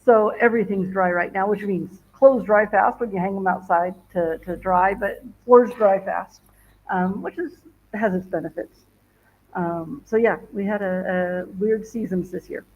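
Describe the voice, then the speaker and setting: Casual woman host, chatty and rambling, mid pitch, dull lo-fi sound. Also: a little slow. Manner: rambling, many fillers and restarts. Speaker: travel vlogger. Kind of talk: casual livestream chat. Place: home in northern Ghana.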